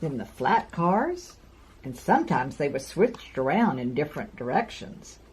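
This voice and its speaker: A woman in her sixties reading a picture book to young children with deliberate, sing-song emphasis. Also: sits low, very animated, darkish tone, slight background noise. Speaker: children's storyteller, host. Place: carpeted room at home.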